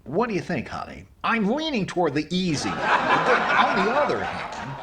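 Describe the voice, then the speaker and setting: Man playing high-voiced quippy comic husband. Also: wildly swooping pitch, normal speed, heavy background noise. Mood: blithe. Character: blithe, quippy comic husband telling his story. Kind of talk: old-time radio comedy acting.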